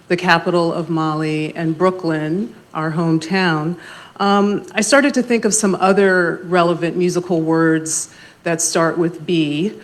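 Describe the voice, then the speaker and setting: A soft-spoken woman moderating a library panel discussion, speaking in a measured way with frequent pauses and ums. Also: lowish voice. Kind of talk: panel discussion opening talk. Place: library event room. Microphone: handheld mic.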